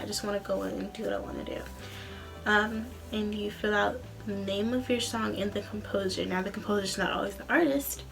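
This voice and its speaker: A woman's voice: mid-range voice, gravelly. A young adult woman speaking as a vlogger in a casual, rambling, informal storytelling way. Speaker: vlogger, student singer.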